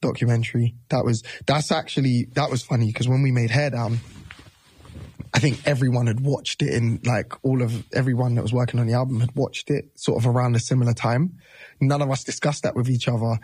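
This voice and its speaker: A man's voice: mid-range voice, fairly even intonation, brisk, a little mellow, husky, dry recording. A man in his thirties, a musician guest, talking casually in an interview, loose and rambling with many restarts.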